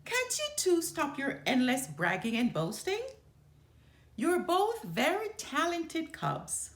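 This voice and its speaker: An exasperated storybook mother bear, performed by a woman. She scolds her cubs emphatically, her high pitch rising and falling widely, in a roomy recording. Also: wildly swooping pitch, slow, full character voice.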